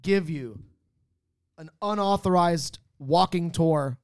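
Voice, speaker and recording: A man's voice: fairly high pitch, slow pace, a little mellow, smooth, dry recording. A man co-hosting a casual panel show, teasing the others and using mock-grand phrasing. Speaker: podcast panelist and co-host. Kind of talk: casual banter.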